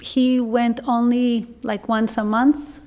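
Soft-spoken Costa Rican woman guest. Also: on the high side, level tone. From Costa Rica. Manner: gentle, thoughtful, with pauses and restarts. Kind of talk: personal testimony in a podcast interview.

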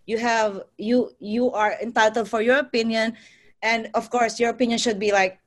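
A woman's voice: on the high side, fairly even intonation. Earnest woman nurse. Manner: earnest, halting, with many restarts. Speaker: nurse guest. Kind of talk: casual panel talk.

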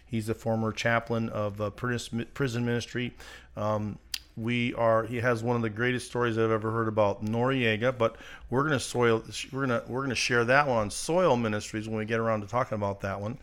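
A man's voice: mid-range voice, steady tone, a little fast, a bit bright. Chatty joking male co-host. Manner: joking, chatty, laughs often. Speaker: podcast co-host. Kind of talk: casual chat.

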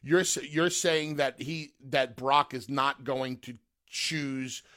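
Casting: mid-pitched male co-host, pushy, keeps repeating to break in. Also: fairly even intonation, slow, a bit bright, clean tone. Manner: pushy questioning, repeats to interrupt. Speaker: radio co-host. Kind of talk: casual radio talk.

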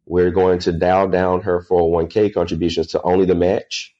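A man in his thirties, a podcast guest, answering earnestly with pauses and "uh" fillers. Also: low voice, level tone, dark tone, highs cut off. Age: 30s. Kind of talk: casual interview answers.

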